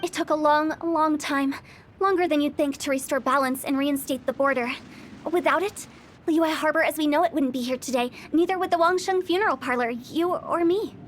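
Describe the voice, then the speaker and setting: A woman performs a mischievous young funeral director in a game cutscene, her voice set high. She teases lightly, then lowers into grave, measured storytelling about life and death. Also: slight background noise, lightly acted. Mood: solemn. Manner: teasing, then solemn when explaining.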